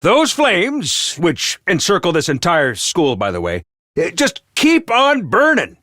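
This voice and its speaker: An actor playing a flamboyant, bloodthirsty military commander who gives booming, theatrical orders in video game voice lines. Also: male voice, wildly swooping pitch, slow pace, pushed and bright, dry recording, studio quality, full character voice. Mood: grandiose. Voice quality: booming, theatrical, drill-sergeant bravado. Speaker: commander announcer.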